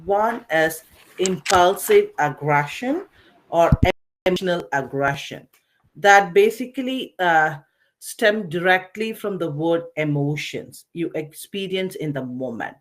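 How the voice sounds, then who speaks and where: A woman presenting a slide-based nursing lecture, explaining in short phrases with pauses in a didactic way. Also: fairly low pitch, expressive. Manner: didactic, recapping, pausing between short phrases. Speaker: webinar presenter, nursing educator.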